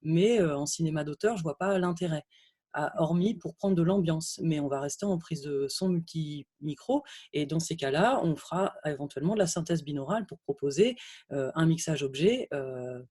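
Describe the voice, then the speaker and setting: A woman's voice: deep voice, moderate pace, dark tone, husky. A woman sound engineer on a panel over a video call, talking hesitantly with many fillers and growing emphatic. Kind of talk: technical panel discussion.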